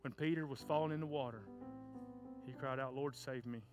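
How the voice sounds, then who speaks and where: A children's pastor, preaching fervently and then praying, loud and passionate at the peaks. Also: male voice, medium pitch, fairly even intonation, a little fast. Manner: fervent shouting preacher, stomps and cries. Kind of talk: sermon and closing prayer. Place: church sanctuary. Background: soft guitar music.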